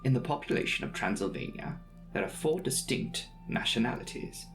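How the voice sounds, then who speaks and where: A man's voice: mid-range voice, animated, moderate pace, natural voice. A man voicing an earnest, inquisitive young traveller reading out his journal in a precise, matter-of-fact way for a dramatic audiobook. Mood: earnest, curious. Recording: music behind the voice.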